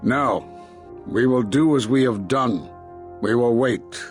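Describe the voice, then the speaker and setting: An older man playing a stern, cautious Jedi master in a video game, grave, deliberate and emphatic. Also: mid-range voice, soft background music, natural voice. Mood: grave, insistent. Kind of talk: video game dramatic acting.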